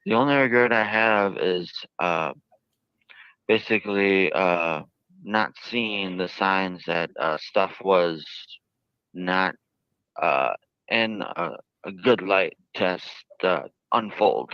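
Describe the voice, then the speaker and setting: A man with a very low, clean voice speaks in halting, drawn-out phrases as he answers interview questions. The sound is very dry and somewhat muffled, like a lo-fi archive interview. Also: clear, resonant tone, no echo. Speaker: jail inmate interviewed by a reporter.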